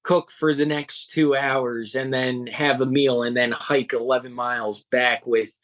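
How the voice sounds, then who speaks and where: A young man talks as an interview guest, earnest and a bit halting, often restarting his sentences. His voice sits at an ordinary middle pitch. The sound is dry with no room echo. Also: steady tone, a bit bright. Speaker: guest, home cook and hiker. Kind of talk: casual interview chat.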